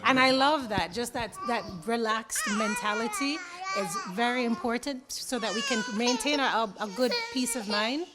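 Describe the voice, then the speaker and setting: A woman's voice: fairly high pitch, very animated, noisy background. Reflective Jamaican-born woman, interview guest. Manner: reflective, unhurried, speaks of slowing down. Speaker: interview guest, college IT teacher. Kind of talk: relaxed conversational interview answers.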